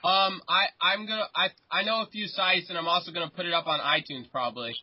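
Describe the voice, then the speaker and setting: A man's voice: sits high, fast, breathy edge. A nineteen-year-old man hosting a casual podcast chat with friends, often stammering and restarting his sentences. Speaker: podcast host. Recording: muffled lo-fi sound.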